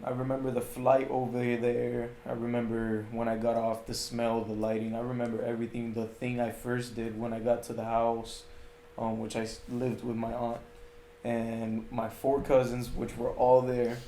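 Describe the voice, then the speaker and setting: A man's voice: mid-range voice, monotone, normal speed, mellow. A young man from Puerto Rico chatting casually as a podcast guest. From Puerto Rico, later Arizona. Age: late teens to early 20s. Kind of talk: casual chat. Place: indoors, at a home. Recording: roomy echo, faint background noise.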